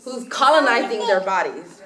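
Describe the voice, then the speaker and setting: A woman's voice: medium pitch, sing-song, normal speed, a crowd in the background. A woman from Los Angeles answering as a panelist, speaking earnestly and emphatically with frequent ums. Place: conference hall. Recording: echoey hall with clear noise.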